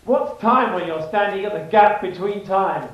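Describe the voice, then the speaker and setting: A man playing a loud carnival barker in an audio drama, announcing to a queue in a booming, drawn-out way. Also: lightly acted. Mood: showy. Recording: very echoey, distant, slightly dull.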